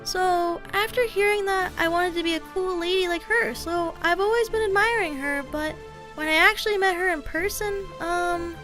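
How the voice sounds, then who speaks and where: A woman's voice: very high voice, moderate pace, music in the background. A woman voicing a polite, frank, shy middle-school girl in a manga dub, speaking earnestly and hesitantly. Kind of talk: anime-style manga dub dialogue.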